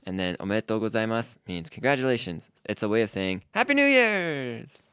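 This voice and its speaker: A man with a low, smooth and resonant voice, co-hosting a casual language podcast. He explains Japanese phrases in an easygoing, patient way. The sound is dry and close to the mic. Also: very animated, quick pace, a bit bright, no room sound. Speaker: podcast co-host teaching Japanese. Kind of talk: casual language-lesson chat.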